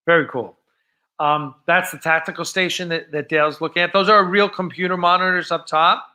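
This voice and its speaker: A man's voice: on the high side, steady tone, a little fast, bright, forceful tone. A man hosting a live stream, chatting casually and off script in a rambling, enthusiastic way.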